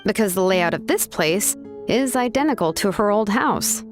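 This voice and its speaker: A woman's voice: medium pitch, expressive, normal speed, over music, natural voice. An actress playing a laid-back, caring young craftswoman in a video game, talking casually and modestly. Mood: nostalgic. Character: laid-back, caring young woman, tribe's name engraver.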